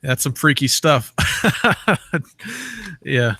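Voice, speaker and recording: A man's voice: mid-range voice, animated, drawn-out words, pushed and bright, no echo, clean studio sound. A man hosting an interview, talking casually and conversationally as he sets out questions and ideas. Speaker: interviewer, podcast host.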